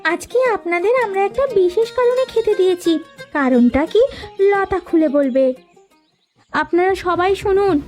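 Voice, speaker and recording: A woman's voice: high voice, mellow, lightly acted. An actress playing a polite, humble young village woman in a cartoon story. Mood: earnest. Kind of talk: Bengali cartoon story dialogue.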